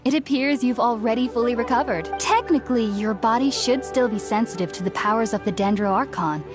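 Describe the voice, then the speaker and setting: A woman playing a studious, polite young researcher in a video game, speaking formally, earnestly and with precise, measured phrasing. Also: a little high, lightly acted. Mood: explanatory. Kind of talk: scripted game character dialogue. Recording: music behind the voice.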